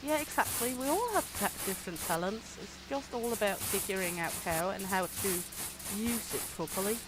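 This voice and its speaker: A woman's voice: mid-range voice, expressive, normal speed, background noise. A Danish woman with a Danish accent, chatting casually to her viewers while she plays, laughing often. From Denmark. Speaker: gaming streamer. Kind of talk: casual livestream commentary.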